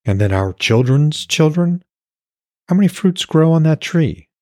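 A man voicing a gentle, earnest young woman in love in a fantasy audiobook, softly questioning and frank. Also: a little high, lively intonation, unhurried, dry recording, clean studio sound, voice pushed up. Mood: hopeful.

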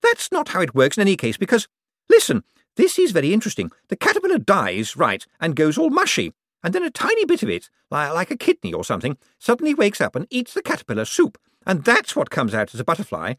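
A man voicing a spoiled, haughty young rich woman who talks in a shrill, bossy, dismissive way. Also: huge pitch swings, fast, fairly bright tone, dry recording, studio quality, full character voice.

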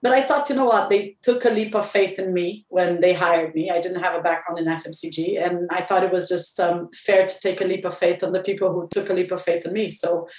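Brief: low-voiced woman from Brazil, company president interviewed, steady and reflective, a bit far off in a roomy space. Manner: measured, thinking aloud with restarts and fillers. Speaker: company president, podcast guest. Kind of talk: business interview.

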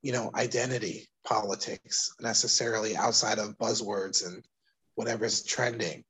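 A man from Brooklyn with a New York accent, speaking thoughtfully as an interview guest, pausing and restarting as he works through his ideas. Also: on the low side, fairly even intonation, husky, poor audio. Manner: thoughtful, hesitant, with restarts and soft laughs. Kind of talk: casual interview conversation.

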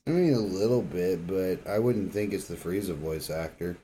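A man's voice: sits low, moderate pace, warm tone, slightly rough. A man co-hosting a podcast, trading casual banter in wry, informal interjections. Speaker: podcast co-host.

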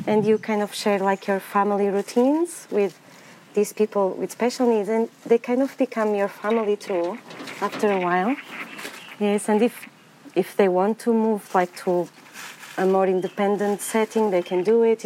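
A woman talks in a warm, mellow voice, soft-spoken and pausing to find her words. She speaks outdoors on a farm. Faint outdoor noise sits behind her. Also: medium pitch, poor audio. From Portugal. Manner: soft-spoken, searching for words, small laughs. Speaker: farm grower. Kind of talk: casual interview answers. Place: outdoors at a farm, weeding paths.

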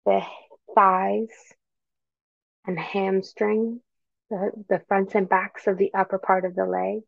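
A woman leading a guided meditation with gentle, soothing, measured phrasing and pauses between instructions. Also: medium pitch, little pitch movement, creaky, no echo, highs cut off.

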